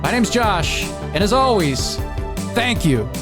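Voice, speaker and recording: A man's voice: high voice, big pitch swings, slow, over music. A man chatting casually as a podcast host, informal and emphatic, often repeating himself. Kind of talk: casual chat.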